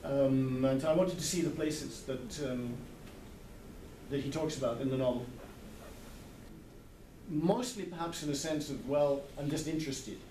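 A man's voice: medium pitch, unhurried, pauses often. A man in his sixties speaking thoughtfully and hesitantly as a literary translator in an informal group discussion. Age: 60s. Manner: thoughtful, hesitant, searching for words. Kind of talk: informal discussion at a book club. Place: large indoor hall, small group seated in circle. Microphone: camera a few metres away. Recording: echoey, distant camera mic, background noise.

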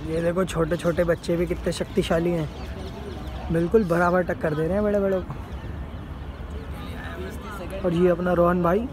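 A man's voice: sits high, little pitch movement, a little fast. Upbeat young man vlogging outdoors. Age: young adult. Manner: chatty, upbeat, addresses viewers as friends. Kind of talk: casual vlog commentary. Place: outdoor athletics stadium track. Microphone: phone camera at arm's length. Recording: outdoor phone audio with crowd noise.

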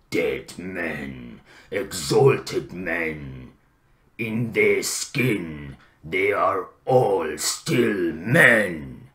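A man voicing a brooding, eloquent, bitter troll king for fantasy game lines, gravelly and theatrical. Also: a bit bright, full character voice. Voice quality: deep, gravelly. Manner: slow, theatrical, grandiloquent.

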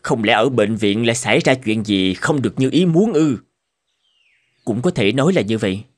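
A man voicing troubled, thoughtful doctors and professors in a cartoon drama, formal and measured. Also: mid-range voice, animated, close to an everyday voice. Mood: earnest, concerned. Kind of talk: animated cartoon drama dubbing.

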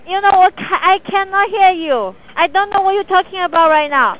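High woman on a tinny phone line. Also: brisk. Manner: confused, polite, asks to repeat. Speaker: restaurant phone worker. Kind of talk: answering a phone call.

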